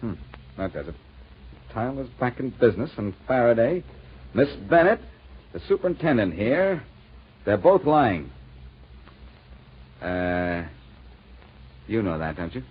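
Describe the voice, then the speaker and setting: An actor playing a determined, worried amateur sleuth in a 1940s radio detective drama. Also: male voice, medium pitch, sing-song, measured pace, pauses often, slightly rough. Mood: insistent, suspicious. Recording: muffled vintage radio recording.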